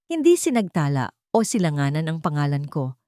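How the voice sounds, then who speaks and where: A woman's voice: deep voice, very animated, brisk, dark tone, dry recording, studio quality. A Filipino woman voicing a wronged, resolute young heiress in a dramatic story, with firm, emphatic declarations. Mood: cold, resolute. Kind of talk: dramatic storybook character reading.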